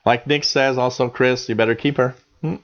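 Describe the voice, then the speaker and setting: A man's voice: mid-range voice, steady tone, normal speed. A chatty Canadian man in his forties, his voice sitting in a middle range. He rambles along with plenty of ums and restarts as he hosts a live show. The audio is a bit dull and lo-fi. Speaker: radio show host reading chat. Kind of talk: casual live chat.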